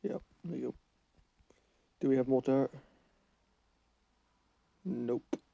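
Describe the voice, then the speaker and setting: A man's voice: medium pitch, slow, creaky, mellow, no echo, highs cut off. A man with a cold and a fading voice, giving rambling gameplay commentary that keeps correcting itself. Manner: rambling, self-correcting, thinks aloud while playing. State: has a cold, losing his voice. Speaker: gaming channel host.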